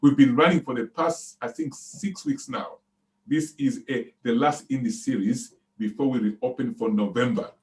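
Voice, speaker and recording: A man of ordinary middle pitch hosts an online seminar over a video call. He greets and prompts his guest with eager praise, pausing often on fillers. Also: animated, rough recording. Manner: enthusiastic, hesitant with fillers. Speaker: seminar host. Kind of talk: online interview.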